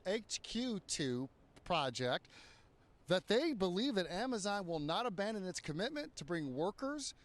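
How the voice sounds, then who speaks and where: A man giving a live TV news report as a reporter. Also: sits high.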